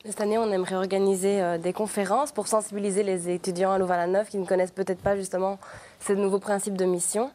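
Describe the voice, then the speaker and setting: A woman's voice: medium pitch, level tone, fast talker, dark tone. A young woman with a Belgian French accent, eagerly describing her group's plans as an interview guest. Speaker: student group member, guest.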